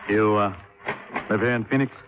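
Low, cocky fugitive played by a male actor. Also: fairly even intonation, moderate pace, close to an everyday voice. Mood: guarded, casual. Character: shifty, cocky male fugitive posing as traveler. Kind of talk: 1950s radio acting. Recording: muffled, very noisy old radio recording.

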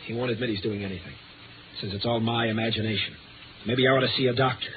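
An actor playing an anxious, harried man in a 1950s radio drama, speaking in a halting, strained, pleading way. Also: mid-range voice, fairly even intonation, a little hiss or hum, natural voice. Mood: wounded, resigned. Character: anxious, harried man haunted by fear of darkness. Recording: tinny old radio broadcast with music.

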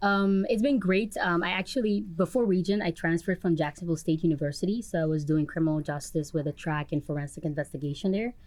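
A woman's voice: fairly low pitch, quick pace, hardly any pauses, darkish tone. A young woman from Curacao, a native Papiamento speaker with a Curacaoan accent, answering interview questions conversationally as a student guest. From Curacao, Dutch Caribbean.

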